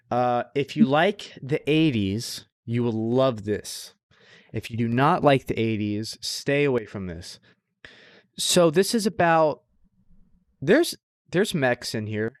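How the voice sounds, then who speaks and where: A man with a mid-pitched voice co-hosts a casual podcast. He talks in slangy, sweary, enthusiastic bursts and leans hard on his recommendations. The sound is dry, with very little room. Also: no room sound. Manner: profane, slangy. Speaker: podcast co-host. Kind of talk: casual chat about anime.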